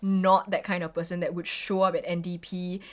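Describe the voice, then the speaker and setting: Singaporean woman, 20s, vlogger; casual, opinionated home chat, slightly low voice. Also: level tone, moderate pace. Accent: Singaporean English. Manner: chatty, opinionated, frequent "like" fillers. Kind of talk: casual get-ready-with-me chat.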